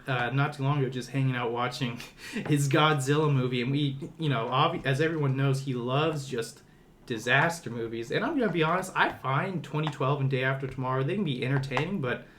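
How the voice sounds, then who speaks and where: A young adult man co-hosts a casual film podcast. His mid-pitched voice is conversational and loosely phrased. There is faint noise behind him. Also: brisk, fairly bright tone. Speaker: podcast host. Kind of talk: casual movie discussion.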